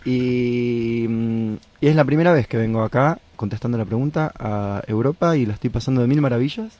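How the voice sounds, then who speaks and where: A man's voice: medium pitch, fairly quick, a little mellow. An Argentine man, a touring folk musician, chatting playfully in a radio interview. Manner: playful, joking, expansive. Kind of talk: casual radio interview chat.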